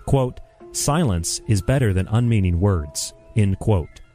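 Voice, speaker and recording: A man's voice: fairly low pitch, lively intonation, a little slow, dark tone. Man reading a formal promo over music. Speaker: radio ad announcer. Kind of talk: scripted promo read.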